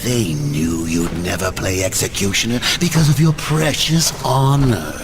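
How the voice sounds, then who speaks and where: An actor voicing a sadistic, manic demonic watcher in a video game, mocking and theatrical with a gravelly voice. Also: male voice, fairly low pitch, measured pace, noisy background. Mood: smug taunting. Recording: dramatic music behind the voice. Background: music.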